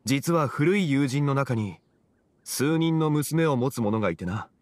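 A male actor as a composed young gentleman of an anime game. His mid-range voice is measured and courteous, with formal, old-fashioned phrasing that sounds wise beyond his looks. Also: mid-range voice, lively intonation, no echo, close to an everyday voice. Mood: thoughtful, reassuring.

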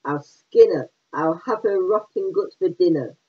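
A man reading aloud and putting on the voice of a spoiled, furious wicked queen who yells her threats, on a tinny phone-like line. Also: high-pitched, unhurried, husky. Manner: loud yelling threats.

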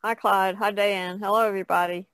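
A woman's voice: mid-range voice, fairly even intonation, brisk, a bit bright, no room sound. A woman co-hosting an art podcast, speaking casually. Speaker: podcast co-host, artist. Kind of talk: casual self-introduction.

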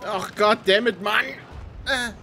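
A woman's voice: mid-range voice, fairly even intonation, slow pace, vocal fry, bright, forceful tone, slight background noise. A woman gamer chatting casually over a game in exasperated, sweary banter. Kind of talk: casual gaming chat.

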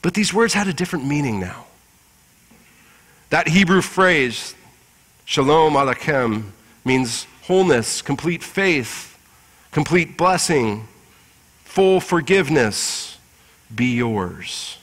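Higher-voiced male preacher in a reverberant church. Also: animated, takes it slowly, long pauses, a bit bright. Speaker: pastor preaching. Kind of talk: Easter Sunday sermon with scripture reading. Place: large church sanctuary with congregation. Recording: reverberant church hall through PA.

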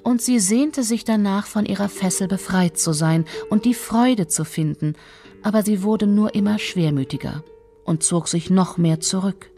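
A woman's voice: medium pitch, normal speed, dark tone, faint music behind. A woman reading scripted fairy-tale narration as the storyteller.

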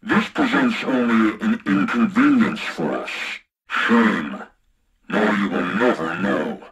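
An actor playing a menacing, taunting spy-ring boss in a video game, with a husky, gravelly voice. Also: male voice, fairly low pitch, animated, slow, noisy background. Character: sinister male spy-ring boss giving threatening orders. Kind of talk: scripted video game voice acting.

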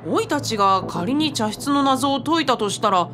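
A woman's voice: sits high, expressive, normal speed. A woman acting a put-upon young man in a radio drama, boyish and grumbling but good-natured. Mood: questioning. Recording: faint music behind the voice.

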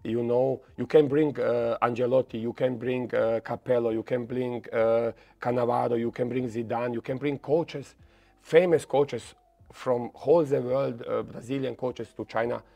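Gravelly man in halting English. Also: medium pitch. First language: Croatian. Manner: halting, repeats words, lively when telling stories. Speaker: football coach, guest. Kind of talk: casual interview in non-native English. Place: dark studio set with red armchairs. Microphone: lapel mic.

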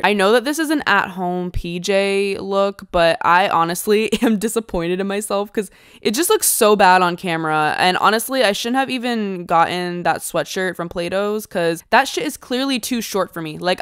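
A woman in her early twenties chatting frankly to the camera in a casual vlog from her bedroom, swearing now and then. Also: mid-range voice. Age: early 20s. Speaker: vlogger. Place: bedroom at home, indoors. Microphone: camera on a stand, she moves around the room.

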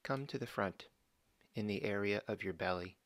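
A man slowly and calmly guiding a body scan meditation, giving gentle step-by-step instructions with long pauses. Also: lowish voice, no echo. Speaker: meditation guide.

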